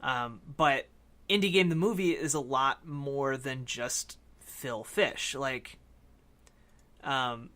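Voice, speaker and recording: A man co-hosting a casual film discussion podcast, talking in a halting, discursive way that often hedges. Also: mid-range voice, pushed and bright. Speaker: podcast co-host.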